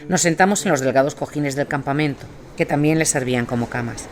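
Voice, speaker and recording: A man's voice: fairly high pitch, fairly quick. A man reading scripted documentary narration as the narrator. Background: music under the voice.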